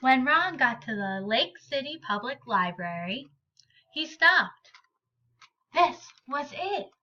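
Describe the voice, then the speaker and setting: A woman reading a children's picture book aloud in an expressive, deliberate and emphatic way. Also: sits high, highs cut off. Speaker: read-aloud storyteller.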